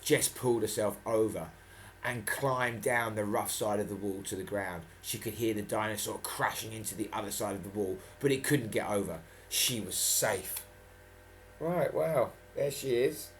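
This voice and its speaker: A man's voice: fairly low pitch, moderate pace, a little hiss or hum. A man reading a storybook dramatically and breaking off for chatty, playful asides.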